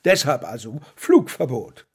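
A man playing a kindly, wry old pigeon keeper with a husky voice in a children's radio play. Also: medium pitch, big pitch swings, a little slow. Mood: wry, friendly.

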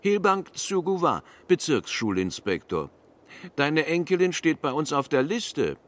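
An actor playing an officious, insistent school inspector with a formal, bureaucratic manner in a radio play. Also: male voice, fairly high pitch, big pitch swings, measured pace, a bit bright.

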